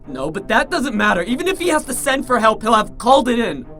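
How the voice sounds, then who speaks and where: A frightened captive man in an audio drama. His delivery is emotional and pleading, swinging widely in pitch over clear background music. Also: sing-song, quick pace, pushed and bright, heavily acted. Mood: shaken, accusing. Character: distraught, frightened man held captive in a car.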